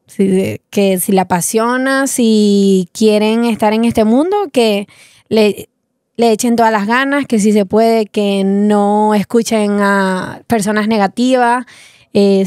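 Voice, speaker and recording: A woman's voice: medium pitch, steady tone, slow, a little mellow, no room sound, clean studio sound. A young woman with a Venezuelan Spanish accent, giving short, casual answers as an interview guest. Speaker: interview guest, fitness creator.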